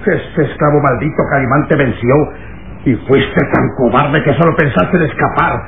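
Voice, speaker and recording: An actor playing a cruel, furious, commanding camp boss in an old radio drama. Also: male voice, medium pitch, moderate pace, vocal fry, reverberant, close to an everyday voice. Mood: menacing. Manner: menacing, vengeful, commanding. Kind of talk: vintage radio drama acting. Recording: tinny, noisy old radio recording.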